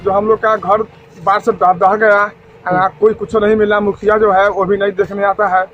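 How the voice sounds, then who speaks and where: A man's voice: high voice, little pitch movement, normal speed, fairly bright tone. A village man with a Bihar accent complaining in a short field interview. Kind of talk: complaining vox pop. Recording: muffled lo-fi, music over it.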